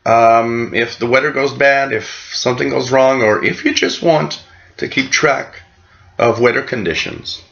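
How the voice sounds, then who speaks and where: A man's voice: mid-range voice, a little slow, gravelly, fairly bright tone, highs cut off. A middle-aged man from Canada casually explaining things as host of a hobby radio channel, recorded in a small room. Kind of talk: casual explanatory vlog talk.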